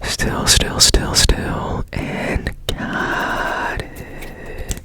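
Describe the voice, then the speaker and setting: A man playing a strange, curious repairman in a whispered ASMR roleplay, breathy and gently reassuring with repeated soft phrases. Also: drawn-out words. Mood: soothing, focused. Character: strange, curious, soothing male repairman of broken machines. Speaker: ASMR performer.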